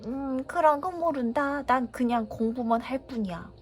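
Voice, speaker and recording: A woman's voice: sits high, moderate pace, soft background music, voice pushed up, natural voice. A woman voicing an absent-minded old male scholar in a children's storybook reading, speaking in a dismissive, musing way. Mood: aloof.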